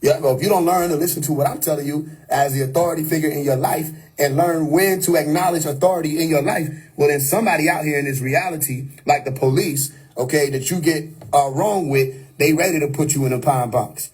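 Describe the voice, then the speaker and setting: A man's voice: on the high side, fairly quick, hardly any pauses, a little mellow, breathy edge. A man giving an unscripted, preachy livestream monologue, emphatic and repetitive. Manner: preachy, emphatic, repeats "you know what I mean". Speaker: livestream host. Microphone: phone or webcam on a live stream.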